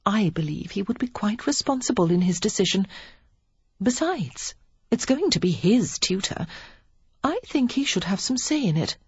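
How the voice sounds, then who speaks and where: A woman's voice: mid-range voice, big pitch swings, normal speed, husky, no echo, muffled recording, pitched above the performer's own voice. A woman reading the part of a witty, confident young woman who teaches card tricks, in a teasing, playful way.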